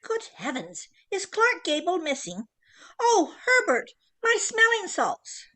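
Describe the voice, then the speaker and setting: An imperious older society mother from a comic radio play. Her voice is high and sharp, swinging dramatically as she looks down on everyone with disdain. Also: big pitch swings, slow, exaggerated voice. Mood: shocked, swooning. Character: haughty, domineering older society mother, disapproving and melodramatic. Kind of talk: comic old-time radio play acting.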